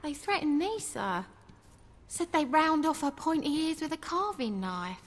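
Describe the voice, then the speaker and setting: A woman's voice: high voice, big pitch swings, measured pace. A woman voicing a melancholy, earnest elven street performer in a video game, with formal, lyrical phrasing. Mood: gloomy, wary. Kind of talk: video game character acting.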